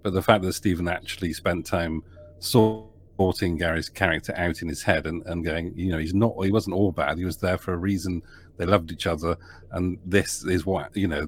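A man's voice: sits low, a little hiss or hum. A man answering podcast interview questions, talking at length with many restarts and "you know" fillers.

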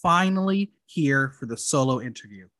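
A man's voice: mid-range voice, normal speed. A man hosting a podcast, chatting casually and joking with his guest. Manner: playful, jokey, animated. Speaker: podcast host. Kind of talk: casual interview chat.

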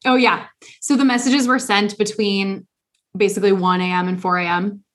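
A woman co-hosting a podcast in casual chat, blunt and animated, swearing freely. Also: mid-range voice, moderate pace. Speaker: podcast co-host.

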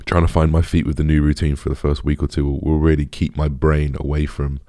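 British man, extremely low pitch, dark raspy tone, rambling informal podcast host, casual chat. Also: level tone, brisk, no room sound, clean studio sound. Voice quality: deep, raspy.

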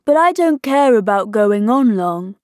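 A woman's voice: mid-range voice, expressive, a little slow, a little mellow, no room sound, clean studio sound, lightly acted. A woman voicing a timid yet boastful, self-pitying little storybook man, plaintively. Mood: reluctant, boastful.

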